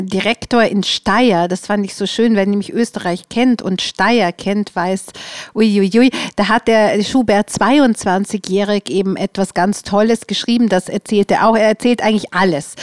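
A woman's voice: medium pitch, no echo, clean studio sound. A woman interviewing in a conversational, informal way, describing things as she searches for her words.

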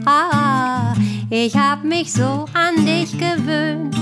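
A high-voiced woman sings a tender chanson at a very slow, unhurried pace. Guitar plays loudly behind her, and some phrases drift into speech. It sounds like a live song recording. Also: steady tone. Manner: slow, tender chanson singing with spoken asides. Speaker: singer. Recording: song with loud guitar accompaniment.

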